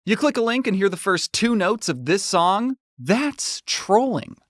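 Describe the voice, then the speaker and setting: Man, scripted comedic narration, very lively intonation, playful sarcasm, dry close sound. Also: high voice, a little slow, no room sound. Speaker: YouTube animator narrating own story.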